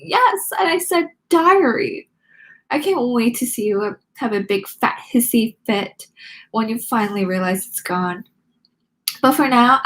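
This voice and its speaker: A woman's voice: a little high, a bit bright, poor audio. A woman voicing a snobby, spoiled schoolgirl drama queen from a teen diary storybook, haughty, sugary-mean and dramatic.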